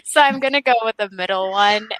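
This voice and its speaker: A woman from Kenya, an investment director speaking on a panel, upbeat and quick to laugh. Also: mid-range voice, fairly bright tone, rough recording. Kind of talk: panel discussion.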